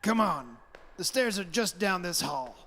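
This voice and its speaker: In an echoey hall with some hiss, a blustery middle-aged father acted by a man speaks in a high voice. He is bossy and blunt, a scoffing skeptic in a radio play. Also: big pitch swings, a little slow, sharp tone, full character voice. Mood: brisk, bossy. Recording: echoey hall, long reverb, background hiss.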